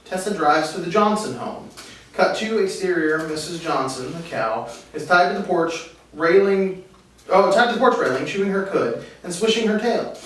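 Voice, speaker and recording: A man's voice: high voice, lively intonation, moderate pace, fairly bright tone. A man reading stage directions as the narrator of a script table read. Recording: distant mic, echoey room, faint noise.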